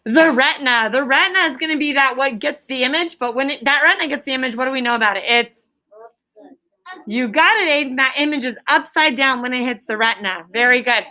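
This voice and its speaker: A woman's voice: high voice, fast talker, sharp tone. A woman elementary school teacher leading an interactive lesson, asking questions and repeating answers with emphasis in an instructive way.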